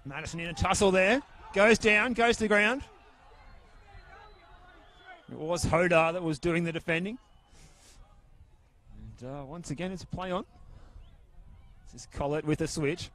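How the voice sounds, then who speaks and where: A man calling a football match outdoors at a ground, soft-spoken and steady, speaking close into a handheld mic. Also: high-pitched, normal speed, pauses often. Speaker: football commentator. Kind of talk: live football commentary. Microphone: handheld mic held very close.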